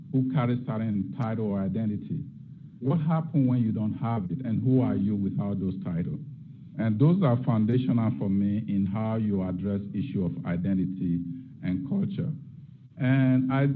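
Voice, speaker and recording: A man's voice: lowish voice, narrow, tinny audio, a little hiss or hum. A middle-aged man from Nigeria, giving an academic lecture and posing rhetorical questions as a guest lecturer. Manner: reflective, asks rhetorical questions.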